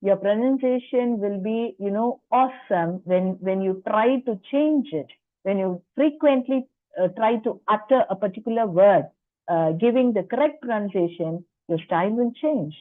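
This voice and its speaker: A woman's voice: mid-range voice, moderate pace, no room sound. A woman lecturer presenting at a faculty workshop, explaining in a chatty way with personal asides and rhetorical questions. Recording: dry tinny phone-like line.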